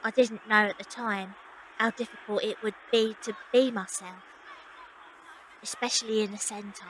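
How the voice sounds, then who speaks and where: A woman's voice: medium pitch, fairly even intonation, normal speed, a little hiss or hum, natural voice, rough recording. A young woman playing a plucky Victorian circus runaway who narrates her own story. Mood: reflective, wry. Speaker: lead actress and narrator. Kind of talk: first-person audio drama narration.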